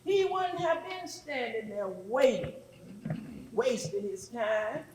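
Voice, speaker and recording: A woman's voice: a little high, lively intonation, takes it slowly, background noise. A woman preaching a call-and-response church sermon, emphatic and repeating phrases for effect. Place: large echoing room with an audience. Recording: echoey hall with congregation responding.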